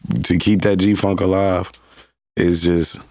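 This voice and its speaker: A man with a deep voice and a Los Angeles accent, a guest artist telling loose, rambling stories full of slang in a casual interview. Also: flat delivery, a little slow, a little mellow, dry recording, studio quality.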